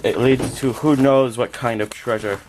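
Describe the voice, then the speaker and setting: A man's voice: medium pitch, fairly even intonation, moderate pace, a little mellow, slight background noise, natural voice, rough recording. A man performing an amateur comedic character skit in a theatrical, exclamatory manner.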